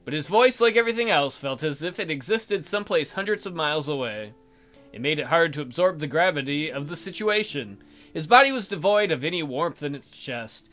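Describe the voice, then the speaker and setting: A man's voice: fairly high pitch, lively intonation, brisk, a bit bright, faint music behind. A man hosting a let's play, reading game text aloud expressively and adding casual jokey commentary.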